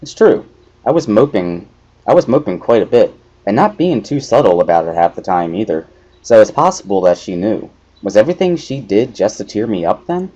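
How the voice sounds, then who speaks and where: A man narrating a first-person visual novel story as an expressive dramatic reading. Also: on the low side, fairly quick, rough recording.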